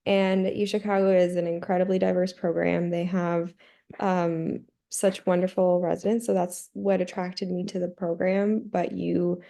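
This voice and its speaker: A woman's voice: on the low side, flat delivery, normal speed, creaky, warm tone. A young woman, an emergency medicine resident on a video call, giving candid, emphatic advice to applicants on a webinar panel.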